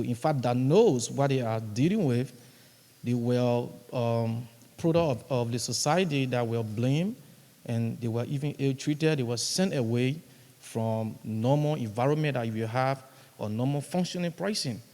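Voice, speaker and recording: A man from Liberia, asking a question from the audience in a formal, measured way with fillers. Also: medium pitch, dark tone. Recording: long hall reverb.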